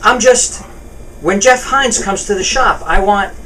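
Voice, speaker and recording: A man's voice: high voice, unhurried, sharp tone. A man playing a defensive, downtrodden young shop employee, protesting in a table read. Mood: hesitant. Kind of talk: table read of a film scene. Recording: distant mic, noisy room.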